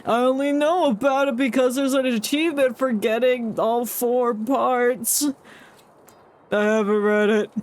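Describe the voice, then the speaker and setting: A woman's voice: fairly high pitch, steady tone, measured pace, darkish tone. A woman streaming a game, playfully commenting and reading the on-screen text aloud in a casual way.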